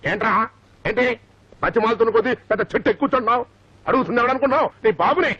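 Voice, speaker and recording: Bossy stingy dad, high forceful voice, dull film sound. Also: male voice, quick pace, slightly rough, dry recording, exaggerated voice. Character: bossy, penny-pinching father in a film comedy. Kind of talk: Telugu film comedy acting.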